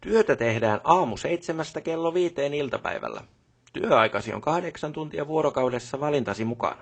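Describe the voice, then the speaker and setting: An actor playing a businesslike male company boss in a video game, talking matter-of-factly in corporate jargon and brisk orders. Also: mid-range voice, slightly rough. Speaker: boss instructing employees. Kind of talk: video game character dialogue.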